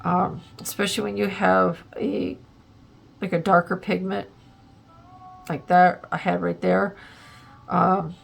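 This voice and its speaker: A woman's voice: on the low side, level tone, takes it slowly, creaky, long pauses, breathy edge, faint music behind. A woman hosting a casual art tutorial, a little congested and plugged up, chatting and thinking aloud as she works, with lots of ums and likes. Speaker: art channel host.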